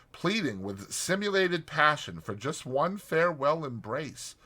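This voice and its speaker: A man narrating an audiobook as a dramatized story, in a theatrical, expressive manner. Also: mid-range voice.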